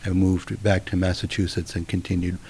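Measured, low-voiced American man from Brooklyn. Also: flat delivery, darkish tone, a little hiss or hum. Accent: Northeastern US. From Brooklyn, New York, USA. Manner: measured, halting, explanatory. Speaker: interview guest, author and former physician. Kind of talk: reflective interview answers.

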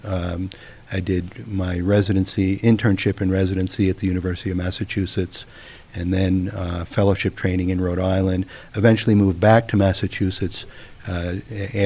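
A middle-aged man from Brooklyn with a Northeastern US accent, giving reflective interview answers in a measured, halting, explanatory way. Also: low voice, a little mellow. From Brooklyn, New York, USA. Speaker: interview guest, author and former physician.

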